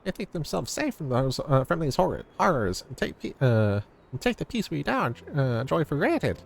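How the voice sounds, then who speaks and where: An eager young villager from a fantasy game, acted out by a man, with a high and wildly swooping voice. The small loyal guide explains things earnestly, a gravelly edge in the sound and music underneath. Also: very animated, moderate pace, full character voice. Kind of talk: fantasy game dialogue read aloud.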